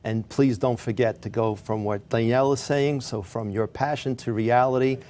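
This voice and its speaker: A man hosting a TV interview show, encouraging and quick with short affirmations. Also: medium pitch, steady tone, darkish tone. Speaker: talk show host. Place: television studio.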